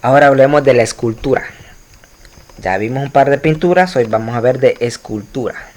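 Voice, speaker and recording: A man's voice: mid-range voice, moderate pace, a little mellow. A man teaching an art history lesson to students over slides, explaining at length with frequent fillers and restarts. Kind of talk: recorded slide lecture.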